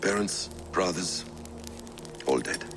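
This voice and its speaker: A man playing a grave, grieving middle-aged assassin in a video game, speaking in a measured, brooding way. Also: low-pitched, expressive. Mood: reflective. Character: grave, grieving Hidden One assassin, a bereaved father. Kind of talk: cinematic video game dialogue acting. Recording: music behind the voice. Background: game music.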